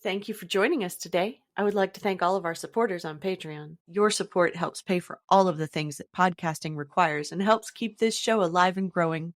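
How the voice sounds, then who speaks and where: A woman's voice: on the low side, a little fast, few pauses. A woman podcast host reading a scripted outro to listeners. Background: music.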